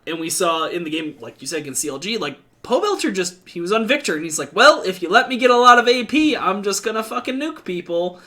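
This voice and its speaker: High-voiced man talking esports. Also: lively intonation, bright, forceful tone. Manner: quick, rambling, with restarts and swearing. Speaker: esports podcast host. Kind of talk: casual esports analysis chat.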